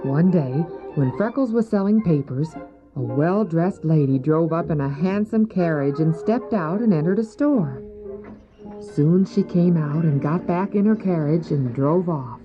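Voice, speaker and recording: A woman's voice: sits low, expressive, unhurried, music in the background. A woman narrating a children's audio drama in a scripted storybook style. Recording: dull old cassette tape.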